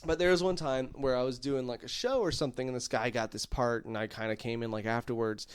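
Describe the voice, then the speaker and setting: A man co-hosting a comedy podcast, telling stories casually in an excitable, self-mocking way with stammered restarts. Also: medium pitch, fast, clear, resonant tone.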